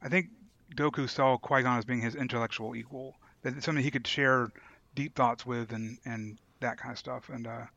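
A man's voice: mid-range voice, steady tone, brisk, creaky, bright, forceful tone, no room sound. Man with slightly dull, dry sound. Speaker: podcast co-host. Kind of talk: casual discussion.

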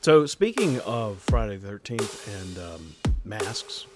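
A man's voice: lowish voice, very animated, takes it slowly, music in the background. A man co-hosting a podcast, talking casually with a dramatic, mock-indignant, emphatic manner. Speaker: podcast co-host. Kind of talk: casual group chat.

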